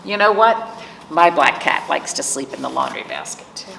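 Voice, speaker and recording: A woman's voice: low voice, lively intonation, normal speed, gravelly, sharp tone. A woman hosting storytime, reading picture books aloud to young children with simple explanations and questions. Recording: roomy, distant mic, faint noise.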